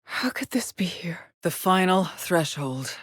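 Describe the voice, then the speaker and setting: Game role: stranded female astronaut, haunted yet resolute, measured slow delivery, clipped formal wording, dry studio sound. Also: fairly low pitch, animated, fairly bright tone, slightly rough, no echo, exaggerated voice. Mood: hushed, awed. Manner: terse, introspective, clipped formal phrasing. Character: haunted, determined female astronaut scout stranded on an alien world. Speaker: video game voice actress. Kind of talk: dramatic video game monologue and log entries.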